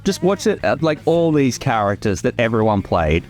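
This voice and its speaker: A man's voice: medium pitch, sing-song, moderate pace, darkish tone. Man, mid-range voice, series creator in informal commentary mode, explanatory and unfiltered, music in the background. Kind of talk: casual director's commentary. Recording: music playing behind. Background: film soundtrack music.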